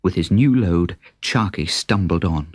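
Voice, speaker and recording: A man narrating a children's story in an expressive, unhurried, dramatic way with a husky voice. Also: low-pitched. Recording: children's story cassette with music. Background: music.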